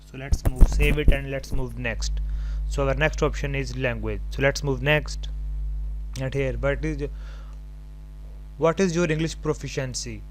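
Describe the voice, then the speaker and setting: A man presenting an unscripted step-by-step tutorial, haltingly thinking aloud as he types. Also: mid-range voice, steady tone, faint music behind, poor audio. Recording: home recording with clear background noise.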